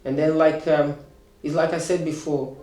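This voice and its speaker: Student, 30s, halting English, in an echoey room over music. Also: male voice, a little high, level tone, a little mellow. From Portugal. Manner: hesitant, many fillers and restarts. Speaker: course student. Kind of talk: casual interview answers.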